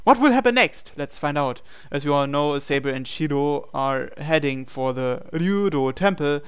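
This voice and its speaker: A man with a somewhat high voice narrates a visual novel playthrough. He reads the story text dramatically and adds his own commentary as host. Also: lively intonation, normal speed, clear, resonant tone. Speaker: host reading a game aloud.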